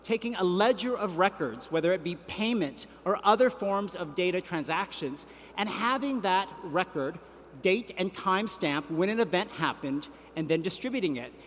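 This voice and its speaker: A man giving a prepared keynote speech on a conference stage, telling a story at a measured pace with deliberate pauses. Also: high voice, lively intonation, a bit bright. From Vietnam. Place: large conference hall. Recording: reverberant hall, faint ambience.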